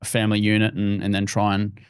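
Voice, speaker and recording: Podcast host, male, lowish voice, attentive and encouraging, dry close sound. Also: completely flat delivery, fast talker, clear, resonant tone, no echo. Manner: attentive, encouraging, with frequent short acknowledgements. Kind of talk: interview.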